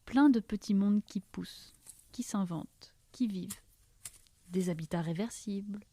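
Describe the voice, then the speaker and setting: Dark-voiced nomad seed-bearer played by a woman. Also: medium pitch, a little slow, a little hiss or hum, close to an everyday voice. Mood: enthusiastic, marvelling. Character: nomadic female seed-bearer, enthusiastic, encouraging wanderer. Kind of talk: fiction podcast dialogue.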